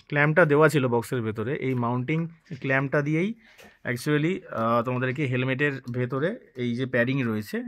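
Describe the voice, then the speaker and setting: A man, vlogging a casual step-by-step installation tutorial and mixing English words into his explanations. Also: medium pitch.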